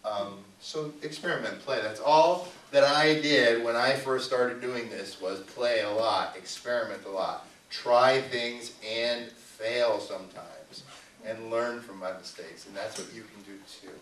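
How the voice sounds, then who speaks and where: A man's voice: mid-range voice, a little slow, a bit bright. A man presenting as a puppeteer to a family audience, informal, instructive and playful, live in an indoor venue. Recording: echoey room, distant mic.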